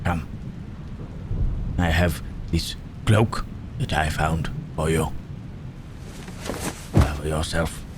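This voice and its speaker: A man playing a gentle, melancholy Frankenstein's monster in an ASMR roleplay, speaking haltingly and thoughtfully in old-fashioned, formal phrases. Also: a bass voice, steady tone, exaggerated voice. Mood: protective, cautious. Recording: rain and thunderstorm noise throughout. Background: rain and thunder.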